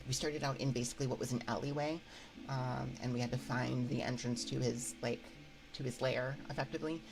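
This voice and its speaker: A woman livestream host chatting casually on an ASMR stream into a close binaural mic, thinking aloud in a halting, conversational way. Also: rumbling low, steady tone, poor audio. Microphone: close binaural microphone. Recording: background music behind voice. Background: music.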